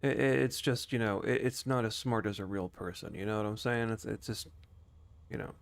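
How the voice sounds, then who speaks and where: A man's voice: fairly low pitch, brisk, vocal fry. A man streaming a game with casual, rambling, conversational commentary.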